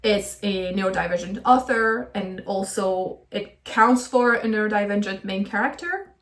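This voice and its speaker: A woman's voice: mid-range voice, normal speed. A woman talking through her book plans in a friendly, enthusiastic, chatty way, with small laughs now and then. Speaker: book vlogger. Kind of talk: casual chatty book video. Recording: echoey room.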